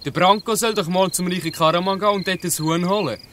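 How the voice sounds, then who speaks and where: A man's voice: sits high, fast, fairly bright tone, a little hiss or hum. A man playing a curt, hostile gang boy in a Swiss German audio drama. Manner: curt, challenging. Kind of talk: dialect audio drama acting.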